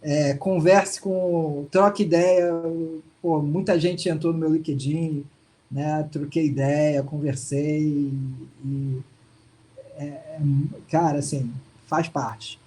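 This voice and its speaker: A man's voice: on the high side, steady tone, slow, dark tone, low-quality recording. A man being interviewed over a video call, speaking in a casual, hesitant way with many restarts and fillers. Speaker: interview guest. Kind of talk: casual testimonial chat.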